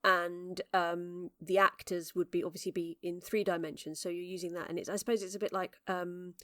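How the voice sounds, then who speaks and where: A woman's voice: lowish voice, flat delivery, brisk, fairly bright tone, no room sound. Chatty English woman, dry close sound. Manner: chatty, storytelling, many fillers and restarts. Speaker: director and regular of the show. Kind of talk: casual podcast chat.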